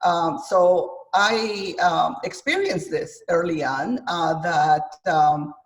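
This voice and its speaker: Woman from Guatemala, interview guest; halting, filler-heavy delivery, a bit off-mic on a video call. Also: on the low side, far from the mic, rough recording. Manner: hesitant, many fillers, thoughtful. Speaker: chef and author guest. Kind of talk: interview conversation.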